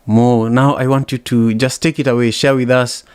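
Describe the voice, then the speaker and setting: A man's voice: medium pitch, flat delivery, moderate pace, clear, resonant tone, no room sound. A man hosting a podcast interview, talking through event plugs and questions in a conversational way, with frequent fillers. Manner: warm promotional chatter with frequent fillers. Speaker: podcast host.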